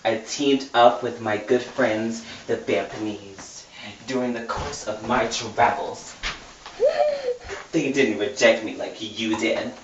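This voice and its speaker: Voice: mid-range voice, fairly even intonation, takes it slowly. A person reading a novel aloud casually in a mock-dramatic, giggly way. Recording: roomy, distant mic, muffled, noisy.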